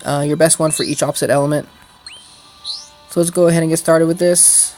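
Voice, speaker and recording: A gameplay host speaks in a mid-pitched voice, explaining strategy in a casual, off-the-cuff way. Music plays behind the commentary. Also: steady tone, fairly quick, darkish tone.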